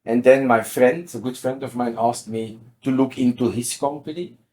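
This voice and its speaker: A man's voice: medium pitch, normal speed, darkish tone, low-quality recording. A man in his sixties with a Belgian accent explains things in an interview, often repeating words as he goes. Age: 60s. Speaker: interview guest, company CEO.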